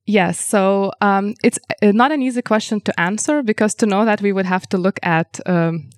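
Lithuanian-native woman scientist, measured and explanatory, mid pitch, dry recording. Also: no room sound. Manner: measured, explanatory, frequent ums. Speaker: researcher guest. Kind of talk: interview answers.

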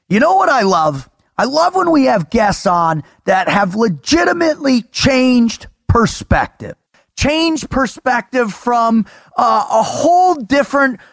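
Excitable high-voiced male podcast host. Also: no room sound, clean studio sound. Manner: excitable, emphatic, announcer-style flourishes. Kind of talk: energetic podcast banter.

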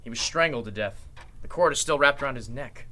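A man's voice: medium pitch, lively intonation, fairly quick, pushed and bright. An actor playing an earnest man taking charge of a mystery in an old-style radio play, with urgent theatrical delivery. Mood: grim, defensive. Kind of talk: Golden Age style radio drama acting.

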